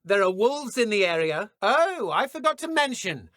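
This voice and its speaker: Sneering British dark witch, wildly swooping delivery. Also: medium pitch, very animated, normal speed, dry recording, studio quality. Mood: smug taunting. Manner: taunting, theatrical, condescending. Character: cruel, sneering dark witch poacher, smug and mocking. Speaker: video game voice actor. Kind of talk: villain combat and idle barks for a fantasy game.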